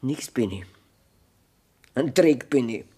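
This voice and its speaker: An actress with a Bavarian accent playing a worn-down farmer's wife in a 1970s radio play, bitter and weary. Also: female voice, rumbling low, expressive, slow, dark tone, husky, heavily acted. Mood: desperate.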